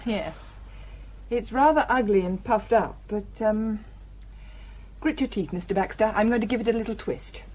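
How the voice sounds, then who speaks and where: A British actress playing a brisk, unflappable village doctor in a radio drama, wry and matter-of-fact. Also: female voice, medium pitch, darkish tone, natural voice, poor audio.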